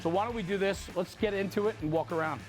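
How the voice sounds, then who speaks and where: A man's voice: high-pitched, fast, loud music behind the voice. A man hosting a walkaround interview, speaking enthusiastically and affirming points as he recaps before asking. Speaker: interviewer and host. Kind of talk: on-site walkaround interview about a fire truck.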